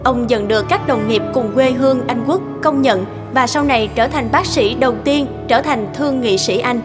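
A woman's voice: on the high side, normal speed, music in the background. A woman narrating a scripted true story in a documentary style.

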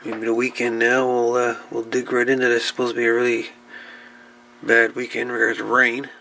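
A man giving casual, unscripted narration at a workbench in a halting, muttering way as he works. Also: mid-range voice, completely flat delivery, gravelly, pushed and bright. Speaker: hobbyist host of radio repair videos. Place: indoor workbench. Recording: noisy home-camera audio with clicks.

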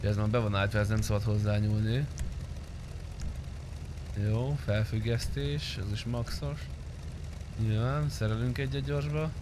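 A man's voice: lowish voice, fairly even intonation, pauses often, noisy background. A young man chatting casually with other players while streaming a roleplay game. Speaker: streamer playing GTA roleplay. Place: indoor streaming room.

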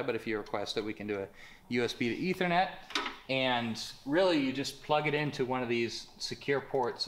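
A young man talks casually and loosely, full of "um" and "like," as he walks around a large, bare warehouse workshop showing his gear. His voice sits at an ordinary pitch, and it is picked up by a mic that travels with him as he moves. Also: background noise. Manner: casual, filler-heavy, gestures while explaining. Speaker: company presenter. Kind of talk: casual product walkthrough.

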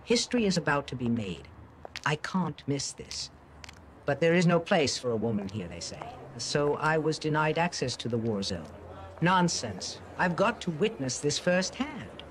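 A man's voice: on the high side, sing-song, moderate pace, a little hiss or hum. A man voicing a determined, defiant female war correspondent in earnest, solemn first-person narration. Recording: voice-over under loud music.

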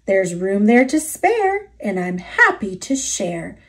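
Woman as a gentle, earnest male moose. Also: mid-range voice, very animated, takes it slowly, pitched below the performer's own voice. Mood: welcoming. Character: big-hearted, gentle, obliging male moose.

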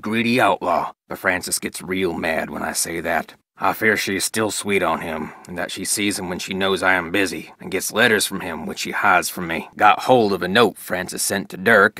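Video game role: weathered frontier lawman and father, low forceful voice, dry close recording, rural Western American accent, plainspoken. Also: no room sound, heavily acted. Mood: stern, troubled. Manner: folksy, plainspoken. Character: weathered Old West sheriff, grieving, stern, loving father. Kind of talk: scripted diary reading in a video game.

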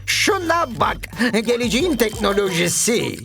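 High, creaky eccentric old scientist. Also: male voice, sing-song, moderate pace, heavily acted. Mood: smug, lazy. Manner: theatrical, muttering and exclaiming. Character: eccentric, lazy old male scientist, wildly excitable. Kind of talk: animated cartoon dubbing. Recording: music behind the voice.

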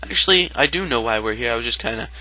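A man's voice: mid-range voice, lively intonation, fast, sharp tone, soft background music, low-quality recording. A man giving casual, joking commentary over a video game, with a playful, silly manner. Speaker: gameplay commentator.

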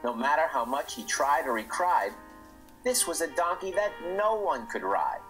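A middle-aged man, the author, reading his rhyming children's book aloud in a lively, expressive way. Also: on the high side, normal speed, soft background music, low-quality recording.

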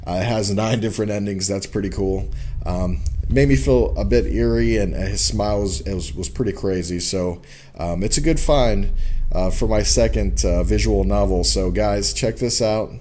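A man's voice: lowish voice, normal speed, mellow, slight background noise. A young man giving casual let's play commentary in a goofy, informal manner. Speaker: gaming video host. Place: indoor room at a gaming setup.

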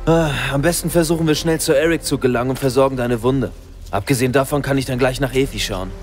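An actor playing a gruff, sarcastic fighter who curses often. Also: male voice, mid-range voice, fast, soft background music, natural voice.

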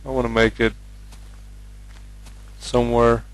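A young man from Norway speaks English with a Norwegian accent while commentating a game. His delivery is flat and even, with little rise and fall. He hesitates often, filling gaps with ums and uhs as he chats. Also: mid-range voice, a little slow, dry recording, rough recording. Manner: hesitant, many fillers, chatty. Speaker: gamer.

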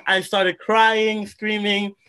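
A man's voice: high-pitched, takes it slowly, clean tone. A young man from Congo, a guest singer, talking eagerly over a video call from a room at home, often restarting his sentences. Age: young adult. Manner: eager, excitable, many restarts. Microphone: laptop webcam on a video call.

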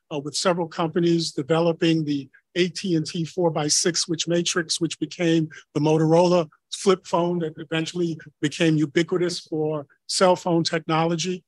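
A man's voice: on the high side, no echo. Man, 60s, native Spanish speaker, no accent, conversational, dry close sound. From Honduras. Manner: conversational, storytelling. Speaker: panelist. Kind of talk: personal account on a panel.

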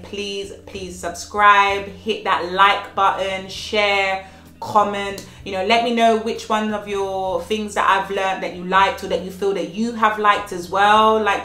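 A woman's voice: mid-range voice, a bit bright. A woman in her thirties with a slight cold, giving earnest motivational life lessons in a home vlog and repeating phrases for emphasis. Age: 30s. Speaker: vlogger. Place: at home, child playing in next room. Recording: echoey room with faint music.

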